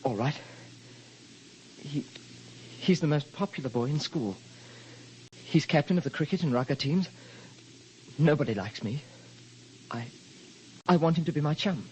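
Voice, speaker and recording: An actor playing a timid, lonely English schoolboy, hesitant and deferential, in an old radio drama. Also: male voice, on the high side, lively intonation, measured pace, long pauses, darkish tone, lo-fi sound, a little hiss or hum. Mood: pleading. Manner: hesitant, stammering starts, deferential.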